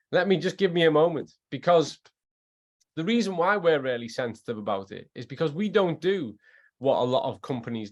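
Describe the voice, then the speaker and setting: A man with a Liverpool accent chatting casually as an expert guest on an online call, in an effusive, animated way. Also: medium pitch. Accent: Liverpool English. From Liverpool, England. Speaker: guest scientist and former athletes' trainer.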